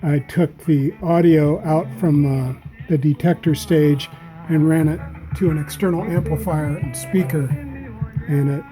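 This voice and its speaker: Slow-talking man with a very muffled, dark voice. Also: on the high side, steady tone, soft background music. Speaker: amateur radio operator demonstrating receivers. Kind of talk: casual product demonstration. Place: small indoor radio room.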